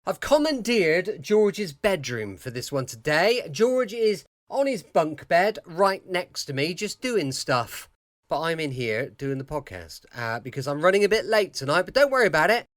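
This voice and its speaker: A British man introduces a podcast in a chatty, informal way. His voice sits a little high with lively, swooping inflection, captured dry and close in a bedroom. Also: moderate pace, dry recording. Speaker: podcast host. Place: small bedroom, indoors.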